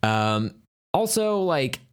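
A man's voice: mid-range voice, big pitch swings, slow pace, clean tone. A man co-hosting a podcast in casual chat, rambling and self-deprecating with jokes. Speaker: podcast co-host.

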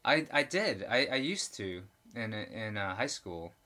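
A man's voice: on the low side, very animated, unhurried, pushed and bright. A man in his forties chatting casually as a podcast host, rambling and laughing often. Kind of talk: casual chat.

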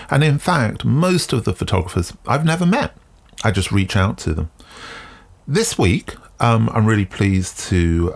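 A man hosting a podcast alone, talking reflectively in measured phrases with frequent pauses mid-sentence. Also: lowish voice, creaky. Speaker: podcast host. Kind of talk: reflective solo podcast talk.

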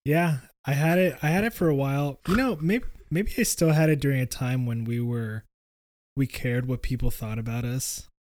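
A man's voice: mid-range voice, moderate pace, a little mellow. A man co-hosting a podcast in casual chat, laid-back and wry. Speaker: podcast co-host.

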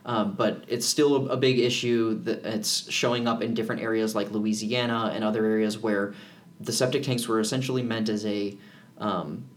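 A man co-hosting a podcast, talking in a relaxed, rambling way with many fillers and restarts. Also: on the low side, moderate pace. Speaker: podcast co-host. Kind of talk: casual podcast chat.

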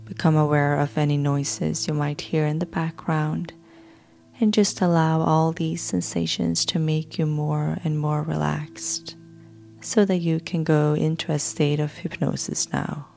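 Female sleep-hypnosis guide: soft, soothing delivery, unhurried pace, noticeable vocal fry, music underneath. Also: deep voice, little pitch movement. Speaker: podcast host guiding sleep hypnosis. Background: background music.

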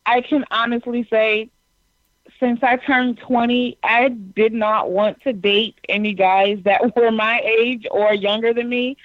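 A woman's voice: a little high, dry recording. Twenties woman guest, earnest and talkative, lo-fi dry audio. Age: 20s. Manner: earnest, explains at length. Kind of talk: casual chat. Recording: dull dry call audio.

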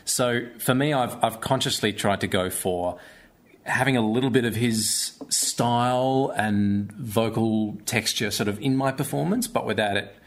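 A man speaking as an actor in an interview, thoughtful and halting, often repeating words. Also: mid-range voice. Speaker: stage actor being interviewed. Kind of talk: casual interview chat.

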